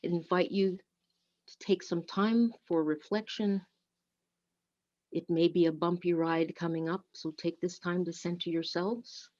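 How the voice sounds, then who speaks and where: Woman service leader, solemn and deliberate, unhurried delivery, slightly dull recording. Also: on the low side, fairly even intonation, a little mellow. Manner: measured, deliberate. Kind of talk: solemn prayer and invitation to reflection. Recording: dull, muffled lo-fi audio.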